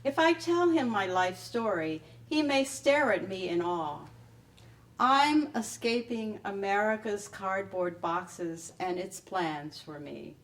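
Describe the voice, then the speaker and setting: A woman reading a memoir excerpt to a live audience in measured short phrases. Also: mid-range voice, expressive, recorded from a distance. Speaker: author reading a book excerpt. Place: live reading at a public library event.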